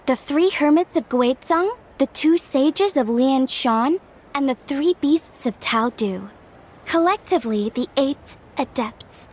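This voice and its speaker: A woman playing a polite, scholarly young woman in a video game, measured and articulate as she tells of legends. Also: high voice, lively intonation. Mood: informative. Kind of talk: video game voice acting, storytelling. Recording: faint music behind. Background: game music.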